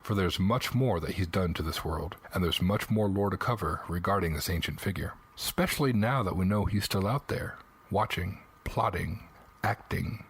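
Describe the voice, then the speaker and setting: A man's voice: sits low, moderate pace, a little hiss or hum. A man narrating scripted fantasy lore as a segment host, in a dramatic storytelling manner with rhetorical questions.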